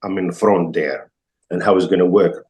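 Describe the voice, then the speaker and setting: Man, Moroccan accent, native Arabic speaker, very low voice, hesitant, lots of fillers and restarts, interview guest. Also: steady tone, moderate pace, a little mellow. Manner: hesitant, many fillers and restarted words, non-native phrasing. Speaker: chef and restaurant owner, guest. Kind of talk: casual interview conversation.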